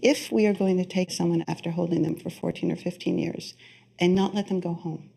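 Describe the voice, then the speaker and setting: Low gravelly woman lawyer. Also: steady tone, fairly quick, warm tone, rough recording. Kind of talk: interview.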